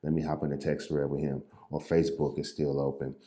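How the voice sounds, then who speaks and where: A man from St. Louis with an exceptionally deep, dark, mellow voice talks other agents through their habits in a loose, unscripted coaching style. His delivery is even and close to monotone, with small restarts and fillers. He stands at his call desk in an echoey room. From Midwest US, St. Louis. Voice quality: naturally low, somewhat monotone voice. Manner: unhurried, loose and conversational, with repeated words and fillers. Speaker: real estate agent and coach. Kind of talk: impromptu coaching talk to camera.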